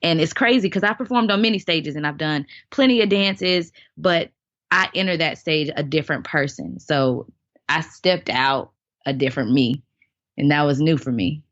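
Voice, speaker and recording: Low-voiced woman telling earnest stories. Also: moderate pace, dry recording, highs cut off. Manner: earnest, animated storyteller. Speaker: guest, dancer and choreographer. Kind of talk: casual interview storytelling.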